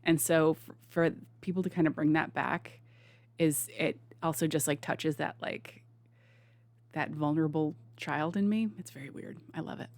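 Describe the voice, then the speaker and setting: A woman's voice: sits low, fairly even intonation, moderate pace, no echo. A woman hosting a casual reaction video, rambling and enthusiastic, with many restarts.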